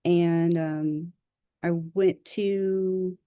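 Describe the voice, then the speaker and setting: A woman from Southern California, an author introducing herself on a video call, speaking modestly and haltingly with fillers. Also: fairly low pitch, fairly even intonation, mellow, clean tone, no room sound, studio quality. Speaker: author presenting a workshop.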